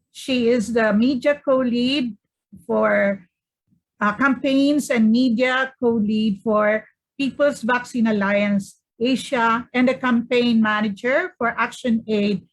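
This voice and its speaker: A woman's voice: a little high, poor audio. A woman moderating a press briefing, thanking sponsors and introducing speakers.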